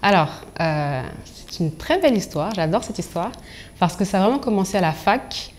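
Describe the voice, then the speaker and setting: A young woman from Angola and Congo, speaking as a professional coach in an interview, articulate and expressive as she tells her own story. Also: fairly low pitch, moderate pace. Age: young adult.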